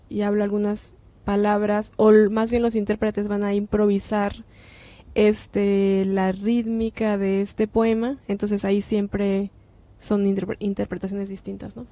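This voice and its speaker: A woman with a Mexican accent, a composer answering interview questions in an earnest way, pausing often to search for words. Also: medium pitch, warm tone, no echo. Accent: Mexican Spanish.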